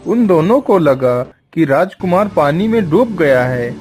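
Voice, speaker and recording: Animated male storyteller. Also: a little high, measured pace, over music. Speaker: narrator. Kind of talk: scripted storytelling narration.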